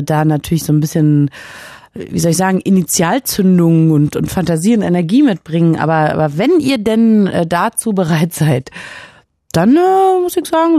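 A woman's voice: fairly low pitch, warm tone, no room sound, clean studio sound. A woman hosting a call-in radio show, chatting playfully with lots of fillers and hesitations. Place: radio studio. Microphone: studio microphone.

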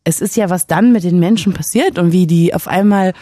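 A woman's voice: fairly low pitch, a little fast, dark tone, no echo. A woman hosting a call-in radio show, chatting playfully with lots of fillers and hesitations. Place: radio studio. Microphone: studio microphone.

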